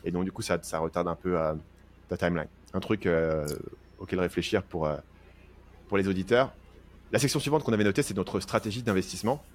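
A man's voice: low voice, brisk. A man co-hosting a casual podcast, asking probing questions and steering the talk. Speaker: podcast co-host. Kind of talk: casual chat.

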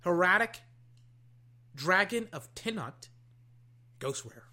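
A young adult talks fast and loose over a pile of card packs, jumping from bursts of hype down to low mutters. The voice is bright and edged, with a lively up-and-down pitch. A slight hoarseness runs under it. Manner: excitable, swings between loud hype and low muttering; swears casually, trails off and restarts. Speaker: card-opening vlogger. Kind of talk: casual commentary while opening card packs. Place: at a desk indoors.

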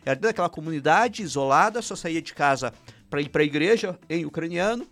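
A man's voice: on the high side, lively intonation, quick pace, fairly bright tone. Chatty man with Ukrainian roots. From Paraná, Brazil. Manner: rambling, frequent restarts and "né?" tags. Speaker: filmmaker guest. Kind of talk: casual interview chat.

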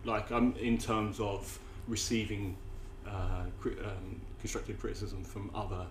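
A man's voice: fairly low pitch, level tone, measured pace. A man hosting a podcast interview in casual, chatty talk, swearing now and then and restarting his questions mid-sentence. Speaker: podcast host. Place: indoor venue with other poets near the mic. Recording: roomy echo, faint background noise.

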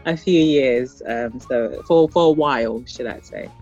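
An interview guest who grew up in Ghana and later Europe, speaking reflectively and haltingly, often searching for words. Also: medium pitch, darkish tone, lo-fi sound, faint music behind. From born in Liberia, raised in Ghana, later the Netherlands and UK.

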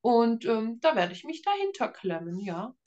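A woman's voice: a little high, big pitch swings, moderate pace, darkish tone, dull audio. A woman in her thirties from northeastern Germany, chatting informally and a little hesitantly as a guest in an interview. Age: 30s. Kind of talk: casual interview chat.

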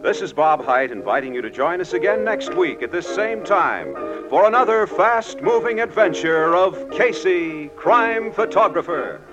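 A man's voice: high voice, lively intonation, measured pace, few pauses. An American man announcing in scripted 1950s network radio style. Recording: muffled old radio recording, music behind.

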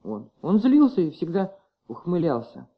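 An actor in a Soviet radio play playing a wary, stubborn young schoolboy who answers in a naive, defensive way, on an old recording. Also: male voice, on the high side, sing-song, normal speed, dark tone. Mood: frank, naive. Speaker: radio drama performer playing a child.

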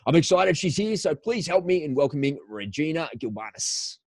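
A man's voice: fairly high pitch, sing-song, normal speed, a little mellow, no echo, studio quality. A man hosting a podcast, giving an enthusiastic, promotional introduction and interviewing a guest. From Australia. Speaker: podcast host.

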